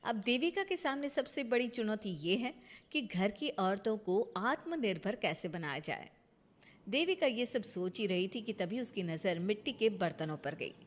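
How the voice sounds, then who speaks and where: A woman's voice: medium pitch, expressive, moderate pace. A woman reading scripted story narration as a storyteller.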